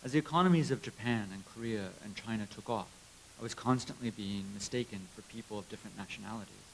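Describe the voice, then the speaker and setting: A man's voice: on the low side, quick pace, poor audio. A man working as an interpreter, reading a prepared translated speech aloud to an audience. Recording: clear background hiss and noise.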